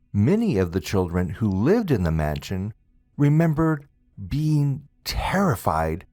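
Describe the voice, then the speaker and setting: A man narrates a scripted ghost story as a storyteller, in a deliberate manner with dramatic pauses. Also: lowish voice, a little mellow, dry recording. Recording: music bed behind the voice. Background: background music.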